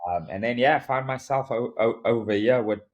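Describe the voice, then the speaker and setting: A man's voice: medium pitch, a little fast, dull audio. A man from South Africa with a South African accent, a rugby coach talking reflectively as a podcast guest, often repeating words and laughing. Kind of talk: podcast interview.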